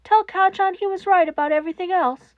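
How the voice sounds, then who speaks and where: A woman's voice: very high-pitched, fairly quick, no echo, phone-quality sound, pitched above the performer's own voice. A woman voicing a despairing young boy in a fan-fiction reading, fragile, trembling and pleading.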